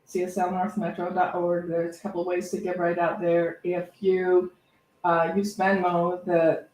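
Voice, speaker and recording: A woman co-hosts a church service with casual, conversational announcements. Her voice sits at some distance from the microphone, with clear room echo around it. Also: lowish voice, monotone, moderate pace, darkish tone, a little hiss or hum. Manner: conversational, informal. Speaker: service co-host, minister.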